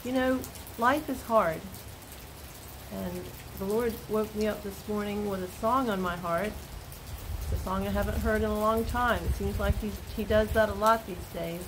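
An older woman giving a gentle spoken devotional, earnest and unhurried, recorded close on a phone indoors with rain falling outside. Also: medium pitch. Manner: slow, soft and earnest, with pauses. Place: indoors, small room by a door, rain outside. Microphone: phone camera at close range. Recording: steady rain noise behind.

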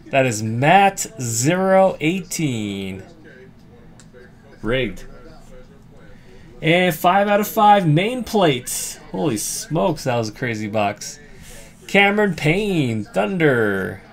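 A man hosting a card break, reading out names as he opens packs and chatting with viewers, with sudden bursts of excitement. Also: on the high side, drawn-out words, pauses often, faint music behind. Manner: reads names aloud, animated bursts of excitement, chats with viewers. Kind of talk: casual live card-opening commentary. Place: indoor room, hands over a table of card boxes.

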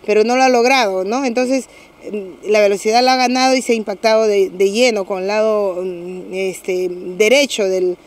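A middle-aged woman with a Peruvian accent, a municipal security official giving a measured, official statement to reporters outdoors on a street, hesitating with fillers and restarts. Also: medium pitch, fairly even intonation. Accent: Peruvian Spanish. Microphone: several handheld press mics.

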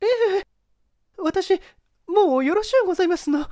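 A reader acting a high, timid young maidservant. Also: moderate pace, warm tone, dry recording, studio quality. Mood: frightened. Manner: polite, timid, flustered. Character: nervous, trembling young maidservant.

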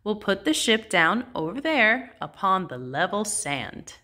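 Plucky young girl rocket captain played by a woman, storybook, earnest, commanding, lively swooping pitch, unhurried. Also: exaggerated voice. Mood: determined.

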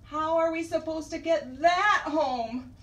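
A woman's voice: high-pitched, slow pace, fairly bright tone, heavily acted. A woman playing an exasperated storybook mom. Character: flustered grown-up mom, exasperated. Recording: roomy distant recording with faint hiss.

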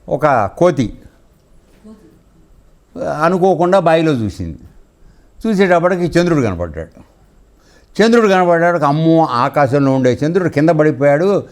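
A man's voice: on the high side, darkish tone. A man giving a spiritual discourse in a conversational, didactic way, often checking that listeners follow.

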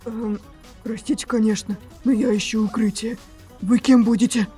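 A woman voicing a bewildered young male hero with a breathy voice and a theatrical, exclaiming manner. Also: on the high side, steady tone, moderate pace, mellow. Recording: faint background music.

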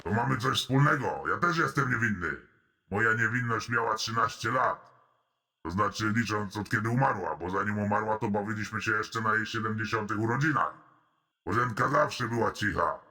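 A man voicing a sleazy, menacing male prison inmate in an animated comedy, with a deadpan, mock-friendly manner. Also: fairly low pitch, normal speed.

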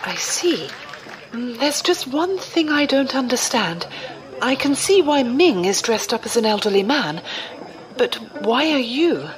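Woman playing a lilting, gently amused religious sister. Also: fairly high pitch, sing-song, a little slow, a crowd in the background, rough recording. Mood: calm amusement. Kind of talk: audio drama acting. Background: music.

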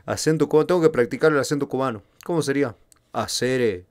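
A man's voice: medium pitch, moderate pace. A young man with an Argentine accent, chatting casually and joking while reacting live to a series on stream. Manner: joking, loud outbursts, sings along.

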